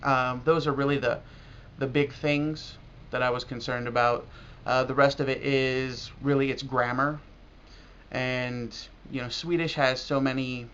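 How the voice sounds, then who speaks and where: An American man talking casually and reflectively in a video blog as a language learner, halting now and then with pauses. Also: medium pitch, a bit bright. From United States. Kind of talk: casual talk to camera about learning. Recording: dull lo-fi audio with clear noise.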